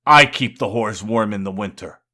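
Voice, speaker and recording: A man's voice: lowish voice, moderate pace, pushed and bright. A man voicing a boastful, defiant god of wine and excess in a grandiose, insistent poetry recital. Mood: proudly boasting.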